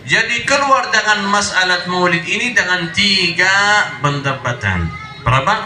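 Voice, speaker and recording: Bright-voiced preacher with a cold. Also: male voice, sits high, animated, measured pace, roomy sound, slight background noise. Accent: Arabic-accented Indonesian. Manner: call-and-response with the crowd, emphatic. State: has a cold. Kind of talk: sermon to a live congregation. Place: outdoor religious gathering on a street.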